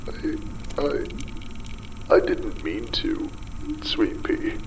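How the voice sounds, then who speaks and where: An actor playing a desperate, unraveling father in a ruined underwater city, muttering a halting, bitter monologue in a gravelly, breathy voice for an audio drama. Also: fairly low pitch, huge pitch swings, a little hiss or hum, exaggerated voice, rough recording. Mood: guilty, faltering. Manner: halting, muttering, broken pauses, bitter swearing.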